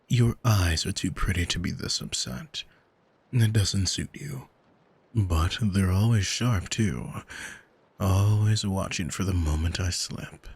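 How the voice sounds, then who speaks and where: A man playing an arrogant, manipulative demon in an intimate ASMR roleplay, speaking in a soft, teasing, condescending and commanding way to the listener. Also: sits low, breathy edge, heavily acted. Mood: teasing, stern. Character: arrogant male demon, seductive, condescending, coolly manipulative captor. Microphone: close microphone, dry.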